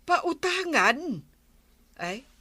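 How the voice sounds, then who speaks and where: Slow-talking, bright-voiced village friend. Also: female voice, high-pitched, sing-song, dry recording, heavily acted. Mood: frank, dismissive. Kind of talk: regional radio comedy drama acting.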